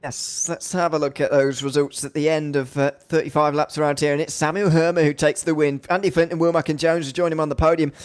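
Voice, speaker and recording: Higher-pitched man commentating on sim racing. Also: level tone, fairly quick, hardly any pauses, clear, resonant tone, no echo. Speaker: race commentator and host. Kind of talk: live sim racing commentary and post-race interview.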